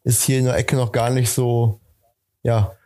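A man's voice: mid-range voice, flat delivery, measured pace, dark tone. A man from Germany talking casually as a guest on a podcast. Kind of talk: casual chat.

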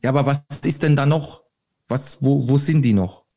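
Gravelly man rambling in a dialect. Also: mid-range voice, moderate pace, mellow. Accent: German dialect. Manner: rambling, many restarts, laughs often. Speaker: podcast guest, author. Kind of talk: casual podcast conversation.

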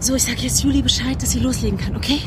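High-voiced, driven young woman agent acted out. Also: very fast, lightly acted. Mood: tense, determined. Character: driven, earnest young female undercover agent among activists. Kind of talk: naturalistic audio drama acting. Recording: clear background noise and ambience.

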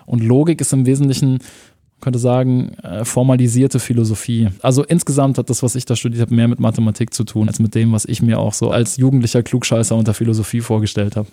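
Relaxed man with a dark dry voice. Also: mid-range voice, fast talker, dry recording. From Germany (Munich). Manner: relaxed, rambling, laughs often, uses slang. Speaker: guest. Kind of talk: casual conversational interview.

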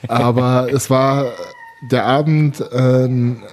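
A man's voice: medium pitch, flat delivery, slow pace, a little mellow. A young man born in the former Soviet Union, a handball player, telling stories in a casual talk-show chat, chatty and laughing at himself often.

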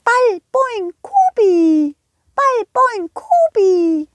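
A very high, swooping little ghost for a children's audio play. It is timid and friendly and babbles in short, repeated cooing syllables. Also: female voice, big pitch swings, very slow, a little hiss or hum, heavily acted. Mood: plaintive.

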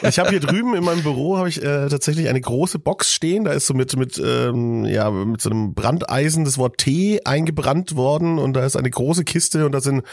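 A man's voice: medium pitch, normal speed, few pauses, dry recording, studio quality. A man co-hosting a podcast in casual chat, joking playfully with lots of fillers, a little under the weather with a cold. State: slightly ill with a cold. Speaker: podcast co-host.

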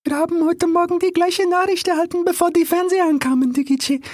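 A man voicing a meek, apologetic small bird assistant in a very high voice. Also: fairly even intonation, quick pace, dry recording, studio quality. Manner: soft, apologetic.

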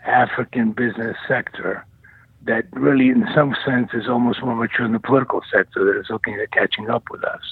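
A man in his sixties, originally from the former Yugoslavia, answering radio interview questions in a measured way with frequent fillers and restarts. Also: on the low side, vocal fry, slightly rough, no echo. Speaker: guest, nonprofit chief executive. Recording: tinny dry phone line.

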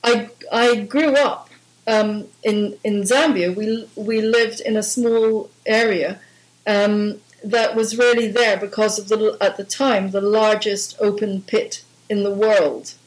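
A woman's voice: mid-range voice, fairly even intonation, slow, low-quality recording. A woman from Guyana, an environmental activist, talking firmly and conversationally in a phone interview, with small restarts.

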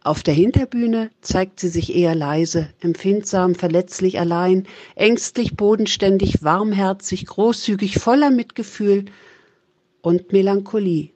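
An older woman reading a carefully written voice message in a measured way, listing words one by one. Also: medium pitch, dark tone. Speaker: mother sending a voice message. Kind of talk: recited voice message. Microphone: phone voice memo.

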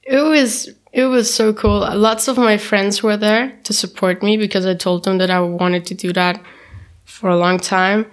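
A young woman from Turkey, raised in Austria, talks about her writing as a podcast guest. Her voice sits at an ordinary middle pitch, and she chats loosely, laughing often and acting out what she said to herself. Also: fairly even intonation, normal speed. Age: young adult. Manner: chatty, laughs often, restarts and quotes herself. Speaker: guest, poetry slammer. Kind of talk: casual interview chat.

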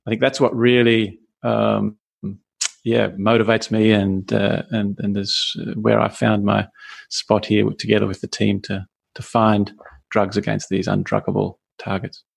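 A middle-aged Australian man speaks in a low, flat tone. His voice has a gravelly edge, and he answers as a podcast guest with frequent fillers and restarts. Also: measured pace. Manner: hesitant, many restarts and fillers. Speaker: guest scientist. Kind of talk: podcast interview.

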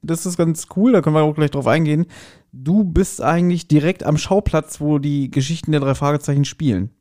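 A man's voice: on the high side, a little fast, dark tone. A man hosting a podcast in a casual, chatty and self-ironic manner. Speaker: podcast host.

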